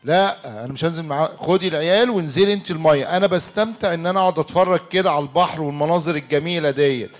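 Guy acting egyptian husband who talks super fast, high-ish voice, always pleading and exasperated. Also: lightly acted. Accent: Egyptian Arabic. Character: easygoing, defensive young husband. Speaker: radio drama actor. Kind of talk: church radio comedy-drama acting.

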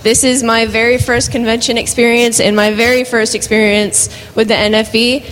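A young woman fresh out of high school giving a confident prepared speech. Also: flat delivery, moderate pace, a bit bright, long reverb tail, slight background noise, rough recording. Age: young adult. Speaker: incoming college student.

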